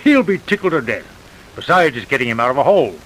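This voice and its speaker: Encouraging parole clerk, friendly, animated, on a hissy vintage radio recording. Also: male voice, mid-range voice, fast, low-quality recording. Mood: cheerful, encouraging. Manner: genial, reassuring. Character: kindly, encouraging prison parole clerk, genial and reassuring. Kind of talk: vintage radio drama acting.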